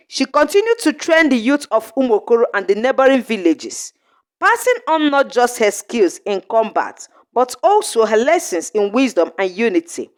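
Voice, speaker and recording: A woman with a fairly high voice reads a folktale as a narrator. Her pitch rises and falls a great deal from phrase to phrase. The delivery is earnest and measured, like a storybook read aloud. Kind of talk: scripted narration of an African folktale.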